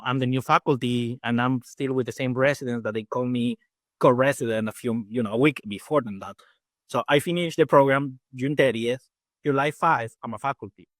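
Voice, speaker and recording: A man in his forties from Peru, speaking English with a Peruvian Spanish accent, his native tongue being Spanish. He talks quickly and loosely, full of restarts and "you know" fillers, at a middling pitch. The sound is close and dry, with no room around it. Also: no room sound. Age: 40s. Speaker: guest dentist and faculty. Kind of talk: casual interview chat.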